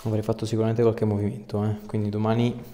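A man's voice: lowish voice, little pitch movement, fast talker, warm tone. A man in his thirties, a chiropractor in a small treatment room, giving a patient short, informal hands-on instructions. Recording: roomy echo, clear background noise, clicks.

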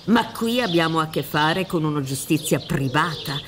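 A woman's voice: deep voice, lively intonation, normal speed, fairly bright tone, slight background noise. An older woman acting in a radio drama as a worldly, theatrical defence lawyer, emphatic and admonishing. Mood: proud. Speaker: actor. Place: theatre stage set up for radio play. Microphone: suspended studio microphone with headphones.

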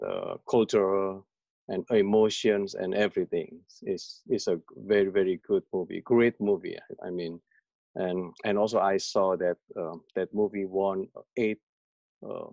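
A man in his forties with an Indonesian accent giving informal, halting remarks on a video call. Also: low voice, creaky, warm tone, no room sound. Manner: halting, hesitant phrasing. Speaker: community organisation representative. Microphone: earphones on a video call. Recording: muffled, dull video-call audio.

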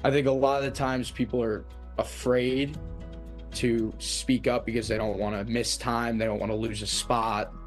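A man in his twenties, a college athlete, talking in a relaxed, plain-spoken way with many "like" fillers. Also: mid-range voice, normal speed, soft background music. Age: 20s. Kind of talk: casual interview.

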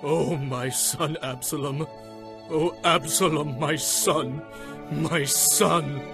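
A man's voice: a little high, lively intonation, a little slow, over music, pitched above the performer's own voice. A man voicing a solemn, emotional king and anxious father in a dramatized scripture audiobook. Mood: grief-stricken.

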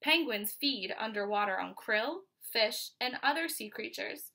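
A woman in her twenties with an American accent reads a children's picture book aloud like a teacher, pausing to explain and ask questions. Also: fairly high pitch, lively intonation, pushed and bright. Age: 20s. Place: small furnished room at home, indoors.

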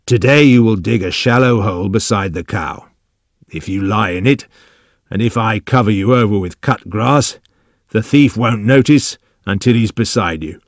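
A man voicing a calm, cunning, commanding old man with slow, deliberate speech in an audiobook story. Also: fairly low pitch, dry recording, clean studio sound, close to an everyday voice.

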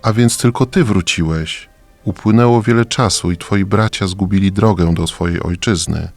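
Man acting a sorrowful old king, low voice. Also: moderate pace, darkish tone, faint music behind. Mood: wistful. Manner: solemn, pleading. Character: sad, ailing old king, tender father. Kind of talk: children's radio play acting.

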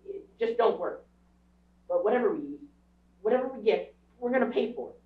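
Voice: mid-range voice, animated, a little fast, close to an everyday voice. A performer playing a proud, defiant young boy in a stage radio drama, blunt and insistent. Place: small theatre stage, recorded from audience camera. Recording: dull, echoey stage recording from far off.

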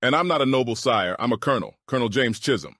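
A man's voice: medium pitch, animated, fast, pushed and bright, no echo, clean studio sound. A man playing a firm, decisive army colonel in an audiobook drama, giving orders with authority. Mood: questioning, firm.

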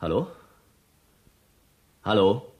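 An actor playing an affable, easygoing journalist who probes with questions in a German radio drama. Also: male voice, fairly low pitch, big pitch swings, extremely slow, heavily acted. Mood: casual, persuasive. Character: affable, inquisitive male journalist.

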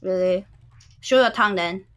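A woman's voice: mid-range voice, moderate pace, fairly bright tone, smooth. A woman in her twenties from Hong Kong and Singapore, a native Cantonese speaker, chatting casually as a livestream guest. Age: 20s. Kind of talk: casual chat. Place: indoor room, online video call.

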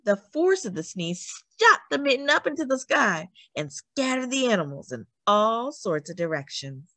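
A woman's voice: on the high side, big pitch swings, moderate pace, sharp tone, dry recording. A woman reading a picture book aloud to young children, expressively and with chatty asides to the listeners. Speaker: read-aloud storyteller for children.

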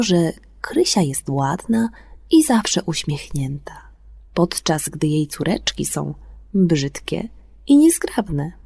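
A woman hosting a children's bedtime radio show, presenting and telling stories in an expressive way for young listeners. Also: fairly low pitch, vocal fry, husky, dry recording. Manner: expressive, drawn-out delivery for children. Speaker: radio host and storyteller. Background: music.

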